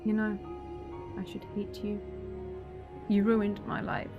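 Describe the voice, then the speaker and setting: A woman's voice: mid-range voice, completely flat delivery, takes it slowly, narrow, tinny audio, loud music behind the voice. A woman playing an earnest, emotional, wronged widow in a sci-fi audio drama. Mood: bitter, grieving.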